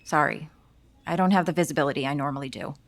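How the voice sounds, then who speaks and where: Low-voiced, probing police detective. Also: female voice, fast. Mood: composed, apologetic. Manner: direct, clipped questioning. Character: probing, matter-of-fact female police detective. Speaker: actor in audio drama. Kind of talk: naturalistic audio drama acting.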